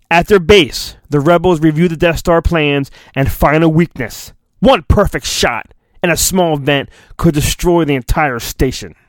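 A man hosting a podcast, giving a mock-dramatic storybook reading and breaking into jokey, deadpan asides. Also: a little high, dry recording. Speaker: podcast host.

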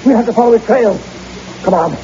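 An actor playing a stern, stubborn doctor in old-time radio horror, clipped and commanding, dismissive though rattled. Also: male voice, high voice, a little fast, mellow, natural voice. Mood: firm, agitated. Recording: noisy, dull old radio recording.

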